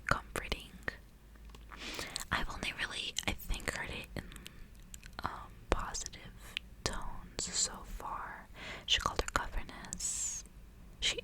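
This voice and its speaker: Woman whispering softly in ASMR style. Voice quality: breathy unvoiced whisper. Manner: soft, hushed, intimate delivery. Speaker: ASMR creator reading a novel aloud. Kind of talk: whispered ASMR audiobook reading with casual asides.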